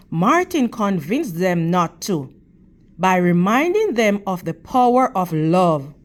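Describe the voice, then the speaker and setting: A woman reads a children's picture book aloud at an unhurried, slow pace. Her voice sits at a middle pitch, and she phrases things deliberately, as a teacher does when addressing young listeners.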